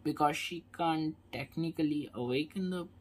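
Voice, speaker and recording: A woman's voice: low-pitched, moderate pace, a little mellow, breathy edge. A woman giving casual gaming commentary, hesitant and thinking aloud. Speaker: gaming streamer.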